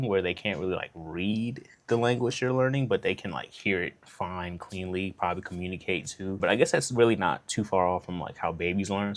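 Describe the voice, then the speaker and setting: A young American man with an American accent, reflecting in a relaxed, thoughtful way, with many fillers. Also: sits low. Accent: American English. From United States. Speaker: vlogger. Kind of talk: reflective casual monologue.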